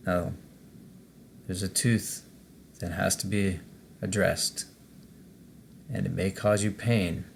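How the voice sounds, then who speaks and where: Man, guided meditation host, very slow delivery, creaky low-end fry, measured pauses, faint noise. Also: steady tone. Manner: measured, pausing between short phrases.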